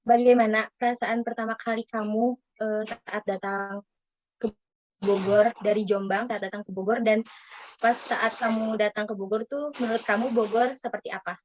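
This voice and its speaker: Young student girl asking questions, rambly, high-ish voice, muffled dry recording. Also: fairly even intonation, moderate pace, a little mellow, slight background noise. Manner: rambling questions with fillers and restarts. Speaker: student interviewer. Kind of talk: casual student interview. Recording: dry, dull lo-fi sound.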